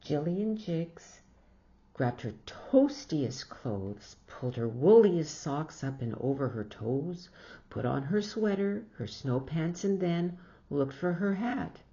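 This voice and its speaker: Female storybook reader, unhurried and expressive, slightly low-pitched, pausing between short phrases. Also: lo-fi sound. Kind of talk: picture-book read-aloud with asides to young listeners.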